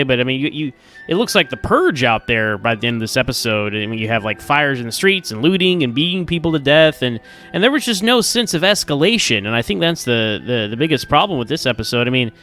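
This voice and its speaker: A man's voice: mid-range voice, sharp tone, smooth, dry recording, clean studio sound. A man giving a casual, rambling TV review as a podcaster, stammering his way through his opinions. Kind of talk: casual spoken TV episode review.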